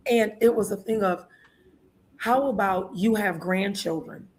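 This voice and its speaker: A woman's voice: mid-range voice, darkish tone, rough recording. A woman in her sixties hosting an interview show, chatty and laughing often. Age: 60s. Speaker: host. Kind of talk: casual interview talk.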